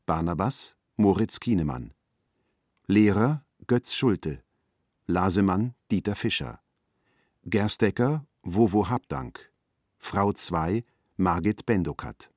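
Male announcer for credits, very low voice, unhurried scripted delivery. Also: darkish tone, dry recording, studio quality. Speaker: announcer reading cast credits.

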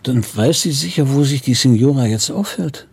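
Detective at home, radio drama, mellow raspy voice, bit creaky, probing but low key. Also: male voice, animated, normal speed, heavily acted. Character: probing police commissario talking quietly at home. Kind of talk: naturalistic radio drama dialogue.

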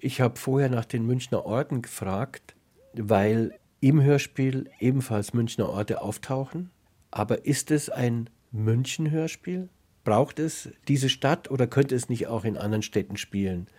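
A man's voice: mid-range voice, measured pace, darkish tone. A man asking precise, probing questions as a radio interviewer.

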